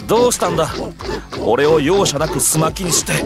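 Guy voicing smooth flirty anime military man, teasing, super lively intonation, loud music behind. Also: a little high, brisk, natural voice. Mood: bewildered, wry. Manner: cool, teasing, mock-dramatic. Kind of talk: anime audio drama acting. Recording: music bed under the voice.

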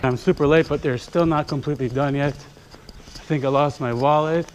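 A man's voice: fairly high pitch, moderate pace, background noise. A young man filming a jokey handheld travel vlog, laughing and chattering as he moves through airports. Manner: excitable, laughing, joking. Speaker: vlogger. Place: airports and a train. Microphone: action camera held close.

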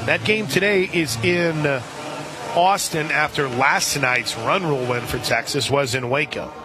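A man doing live radio play-by-play of softball in a conversational way, as the announcer for the home side. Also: a little high, a little slow. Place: outdoor softball stadium broadcast. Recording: crowd and music behind. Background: stadium crowd, music.

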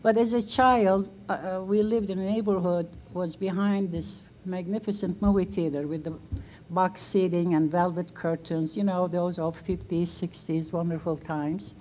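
A woman's voice: lowish voice, fairly even intonation, moderate pace, mellow, slight background noise, rough recording. A Turkish-born woman in her sixties, a non-native English speaker, telling personal stories on a museum panel with frequent fillers and laughs, into a table mic on a stage. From Turkey. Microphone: table mic on stand.

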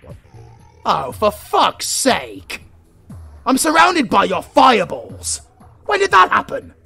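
A man's voice: very high voice, huge pitch swings, creaky, sharp tone, breathy edge, soft background music, heavily acted. A British man playing an arrogant, flirtatious supervillain in a romantic comedy audio roleplay, theatrical and easily exasperated. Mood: exasperated, outraged. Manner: theatrical, swinging from slow menace to exasperated outbursts. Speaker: voice actor.

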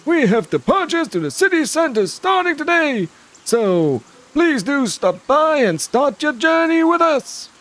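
A cheerful game villager, an animal with an extremely high voice. The delivery is formal and proclaiming, swinging up and down like a town crier's announcement. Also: male voice, sing-song, unhurried, a bit bright, exaggerated voice. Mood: grand, announcing. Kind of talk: video game character dub.

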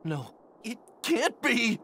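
A woman's voice: fairly high pitch, big pitch swings, slow pace, a little hiss or hum, full character voice. An actor playing a panicky, good-hearted little male fighter in an anime game. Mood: alarmed. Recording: music behind shouted lines.

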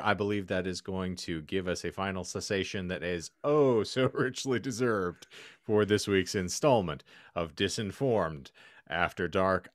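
Low-voiced, animated male podcast host. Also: dry recording, studio quality. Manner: jokingly grandiose, florid wording. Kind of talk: casual comedic podcast banter. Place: home room, online group call. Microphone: close podcast microphone.

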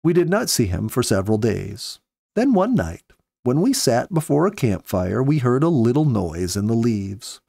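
A man in his fifties reading a story aloud in measured, earnest storybook narration, close to the microphone. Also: mid-range voice, sing-song, gravelly, mellow, breathy edge, no echo. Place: small indoor home study. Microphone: close studio microphone with pop filter.

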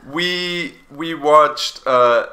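A man's voice: on the high side, background noise. A man hosting a casual film review podcast, joking and laughing often, with hesitant restarts. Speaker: podcast host.

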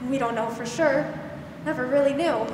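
A young woman acting a gentle, weary, protective older aunt in a 1940s-style radio drama, soothing and hesitant. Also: high voice, moderate pace, natural voice. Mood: hesitant, sorrowful. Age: young adult. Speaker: student actor. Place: school theatre stage. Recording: echoey hall, noisy background.

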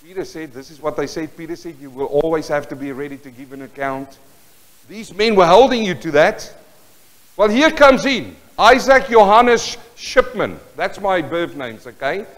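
A man's voice: on the high side, moderate pace. An older man from South Africa with an Afrikaans accent, preaching a storytelling sermon in a small church hall and talking directly to the congregation. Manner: animated, gesturing widely, talks to the congregation. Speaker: pastor.

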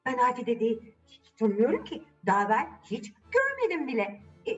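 Storybook little girl, played by a woman: high, hesitant, pleading, animated; dullish recording. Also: moderate pace, far from the mic, muffled recording, soft background music. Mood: anxious, reluctant. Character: shy, anxious five-year-old orphan girl.

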